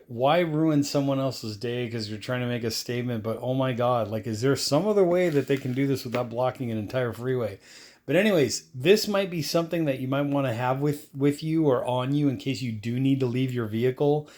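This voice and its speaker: A man's voice: medium pitch, a little fast, darkish tone. A man doing a casual product unboxing review, talking in a rambling, offhand way full of fillers.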